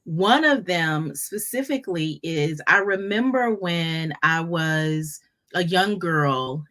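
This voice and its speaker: A woman in her fifties hosting a podcast chat with a fairly low voice. She reacts with enthusiasm and repeats words as she gets going. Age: 50s. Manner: warmly enthusiastic, repeats words when excited. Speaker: podcast host, business coach. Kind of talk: casual conversation.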